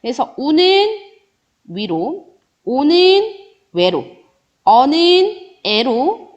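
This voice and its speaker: Woman teacher, online lesson, sing-song and lively, muffled lo-fi sound. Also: sits high, extremely slow. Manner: lively, rising and falling teacherly sing-song, voices student questions herself. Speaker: Korean grammar teacher. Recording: dull lo-fi recording.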